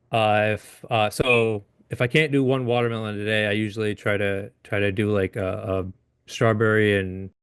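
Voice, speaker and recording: Lowish man, halting interview guest. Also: smooth, no room sound, studio quality. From Upstate New York. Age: 30s. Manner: halting, restarts often, laughs easily. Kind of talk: casual interview.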